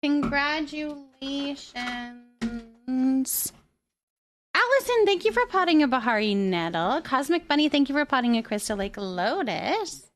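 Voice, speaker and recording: A woman's voice: sits high, very animated, measured pace. A woman livestreamer chatting casually and ramblingly with her viewers in a chatty, giggly manner. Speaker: live streamer. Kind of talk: casual livestream chat, reading and answering viewers.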